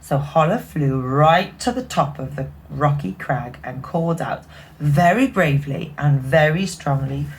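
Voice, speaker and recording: A woman reading a children's picture book aloud expressively, dropping into hushed asides. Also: sits low, animated, a little slow, rough recording. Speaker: bedtime story reader.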